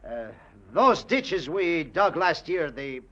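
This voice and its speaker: An actor playing a humble, loyal plantation foreman who speaks haltingly and earnestly in old radio-drama style. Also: fairly high pitch, very animated, bright, forceful tone, exaggerated voice. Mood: anxious, loyal. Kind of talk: 1940s-50s radio drama acting. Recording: muffled vintage radio recording.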